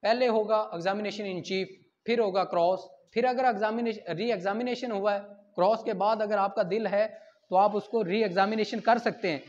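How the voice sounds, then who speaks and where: High-pitched Pakistani male law lecturer, muffled recording. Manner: informal, switches into English to read out legal text; asks questions and answers them himself. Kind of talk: classroom lecture, explaining articles point by point.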